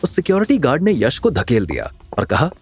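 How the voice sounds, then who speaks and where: A man narrating a scripted drama story in an expressive storytelling style. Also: mid-range voice, fairly quick, breathy edge, soft background music.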